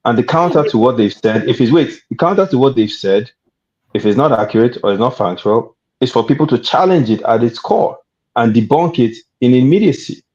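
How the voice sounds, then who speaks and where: A man's voice: medium pitch, expressive, fairly quick, darkish tone, highs cut off. A man on a panel, arguing heatedly and cutting in to hold the floor. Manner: argumentative, keeps interjecting to hold the floor. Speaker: panel guest.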